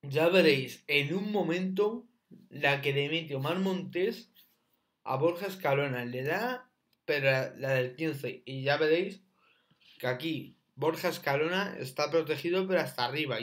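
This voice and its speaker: A young man hosting a reaction video, mocking with loud repeated exclamations as he comments on clips from his room. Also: fairly high pitch, a little slow.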